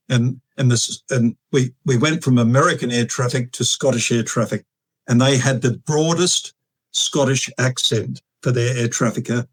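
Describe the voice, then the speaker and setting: An Australian man in his sixties talks through his memories at an unhurried, halting pace. He is a guest in a relaxed interview and often pauses with ums and restarts. His middle-pitched voice sounds close and dry, with no room echo. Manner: halting, with many fillers and restarts. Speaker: guest, retired air force officer. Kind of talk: casual storytelling interview.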